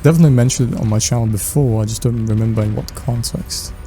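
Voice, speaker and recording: A man's voice: medium pitch, steady tone, fast talker, warm tone, faint music behind. A young Danish man with a Danish accent, chatting casually while playing, with many fillers and restarts. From Denmark. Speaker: gaming YouTuber. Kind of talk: casual gameplay chat.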